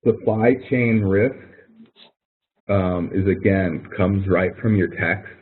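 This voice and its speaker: A male instructor with a fairly low voice talks through material on a thin, narrow phone-style line. He sounds informal and conversational, coaching listeners step by step. Phrases often get repeated as he explains. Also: slow pace, slight background noise. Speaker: college course instructor leading a webinar. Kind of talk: informal instructional webinar walkthrough. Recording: thin phone-like webinar audio.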